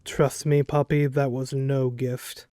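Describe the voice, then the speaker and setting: A woman as a dark-toned, formal protective sibling. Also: extremely low pitch, little pitch movement, no echo. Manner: formal, measured, stately. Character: formal, protective older sibling, stern and composed.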